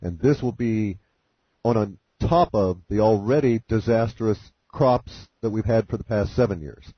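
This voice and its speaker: A man with a fairly low, somewhat rough voice lays out his forecasts in formal, technical language. He speaks deliberately, like someone dictating statements. The sound is dull and dry, as on a conference call. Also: no echo. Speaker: interview guest presenting his forecasts. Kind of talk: measured conference-call exposition. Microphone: conference call line.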